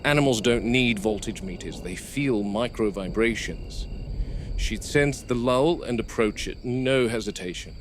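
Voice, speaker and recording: An actor playing a wary, weary male game warden at a dinosaur park, narrating a horror audio log in a measured, grave way. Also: mid-range voice, close to an everyday voice. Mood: wary, tense. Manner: measured, grave, deliberate field commentary. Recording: noisy VHS-style field recording with outdoor ambience.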